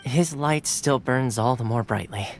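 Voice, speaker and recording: A man's voice: mid-range voice, unhurried, slight background noise, lightly acted. A man voicing a friendly young man who speaks through a dog, teasing at first and then earnest. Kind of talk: video game dialogue. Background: game music.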